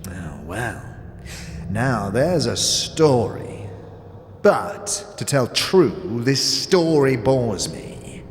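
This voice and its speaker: An actor playing a withered, sly and contemptuous old underworld boss in a fantasy audio drama, creaky and often dropping into breathy, taunting whispers. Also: male voice, mid-range voice, very animated. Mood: sly storytelling. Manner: theatrical, taunting, drawn-out phrases with street slang. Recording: long hall reverb, music behind.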